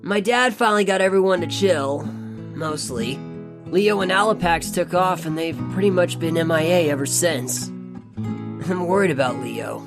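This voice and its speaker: A woman's voice: fairly low pitch, unhurried, over music. A woman voicing a friendly, slangy teenage male mutant turtle in a comic dub, talking like a surfer dude as he narrates a letter. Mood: exasperated, recounting.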